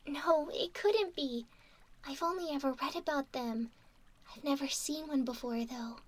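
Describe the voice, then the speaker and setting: High, soft young mermaid, acted by a woman. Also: a little slow. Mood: curious. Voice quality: soft, often whispery. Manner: soothing, politely apologetic. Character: gentle, curious young mermaid, doting and reassuring. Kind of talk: ASMR roleplay.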